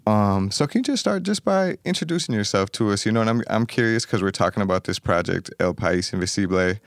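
A man's voice: lowish voice, expressive, fairly quick, vocal fry, no room sound. A man hosting a podcast in a recording studio, friendly and eager, with many hesitant fillers. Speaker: podcast host. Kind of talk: casual interview hosting.

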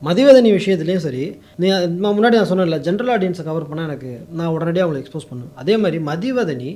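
Fast, high-voiced Tamil Nadu man. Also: lively intonation, mellow. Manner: code-mixes English words into speech. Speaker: interview guest, political YouTube commentator. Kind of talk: opinionated interview talk.

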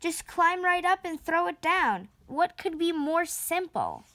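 A woman's voice: very high voice, big pitch swings, a little slow, pushed and bright, no room sound, voice pushed up, exaggerated voice. A young girl voicing a big, bossy, bullying elephant schoolgirl in a storybook, scornful and goading.

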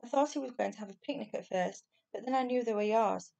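A woman voicing an excitable, earnest and insistent young boy in a children's storybook reading. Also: mid-range voice, normal speed, vocal fry. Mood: protesting.

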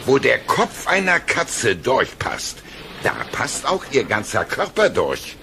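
A man playing a grumpy, blustering cartoon pirate captain in a German children's audio play, grumbling in a gravelly voice. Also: medium pitch, very animated, slow, sharp tone, faint music behind, exaggerated voice.

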